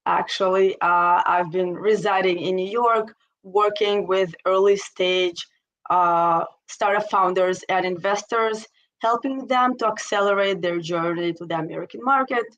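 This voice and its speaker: A woman's voice: mid-range voice, steady tone, a little slow, fairly bright tone, low-quality recording. A middle-aged woman with an Israeli accent, a guest speaking casually on a video call and often saying "you know". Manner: conversational, many "you know" fillers. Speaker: interview guest, investor. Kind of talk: casual webinar conversation.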